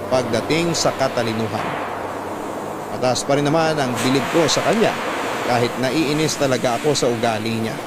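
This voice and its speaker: Mid-pitched, sorrowful storyteller, a man narrating. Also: very noisy, lightly acted. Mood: troubled, reflective. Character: sorrowful grown child narrating a parent's illness. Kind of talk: dramatic first-person narration.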